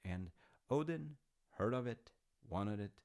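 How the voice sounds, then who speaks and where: Easygoing storyteller dad male voice actor, audio roleplay: unhurried, creaky, wry and musing. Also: fairly low pitch, animated, a little mellow. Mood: wryly amused. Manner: wry, understated, musing with dry humour. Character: easygoing, artsy dad telling Norse myths to his grown child. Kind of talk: casual, intimate storytelling roleplay.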